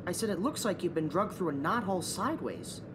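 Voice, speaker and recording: An actor playing a casual, blunt government agent in a radio play. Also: male voice, high voice, animated, a little fast, background noise. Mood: blunt, concerned.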